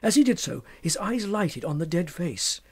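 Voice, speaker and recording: A man narrating a literary tale as an audiobook, dramatic, measured and formal in his delivery. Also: sits high, sing-song, a little mellow, husky.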